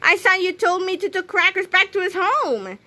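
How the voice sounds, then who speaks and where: A woman's voice: high-pitched, very animated, fairly quick, sharp tone, voice pushed up, exaggerated voice. A woman voicing a bossy, sly, confident schoolboy in a storybook reading. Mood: stern, indignant. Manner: brash, teasing.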